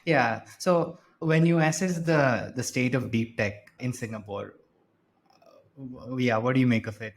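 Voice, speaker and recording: A man's voice: medium pitch, a little slow, echoey room. A man hosting an investment interview show, reading a formal guest introduction and then asking questions in a friendly way. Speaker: podcast host.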